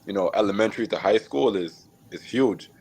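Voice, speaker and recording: A man from Jamaica, a podcast guest, telling his life story in a relaxed, reflective way with many fillers and small laughs. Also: lowish voice, fairly quick, slightly rough, poor audio. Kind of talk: casual storytelling interview.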